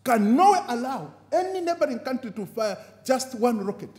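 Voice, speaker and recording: A man with a very high, lively voice gives a fervent speech from a podium. His pitch climbs on the words he stresses and sinks low at the end of each phrase, in a preaching rhythm. The sound rings with the long reverb of a large convention hall. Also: normal speed. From Zambia. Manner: preaching cadence, rising on key words and dropping low at phrase ends. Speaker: guest speaker at a party convention.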